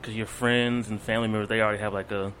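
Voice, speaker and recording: Very low-voiced woman host. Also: little pitch movement, rapid-fire, a bit bright, a little hiss or hum. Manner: supportive listener, brief backchannels. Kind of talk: casual conversation.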